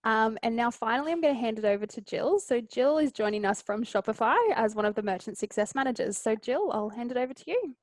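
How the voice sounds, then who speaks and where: A woman's voice: a little high, fast talker, few pauses, dry recording. A woman hosting a webinar panel over a video call, upbeat and using a lot of fillers as she hands over to each speaker. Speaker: webinar host. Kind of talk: moderating a panel.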